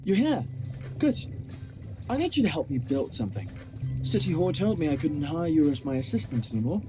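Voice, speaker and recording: Fussy, animated male scientist. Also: on the high side, brisk, rough recording. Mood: precise, self-satisfied. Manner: formal, pedantic, exacting. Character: fussy, precise, self-important male scientist. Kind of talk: video game character acting. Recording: music behind the voice.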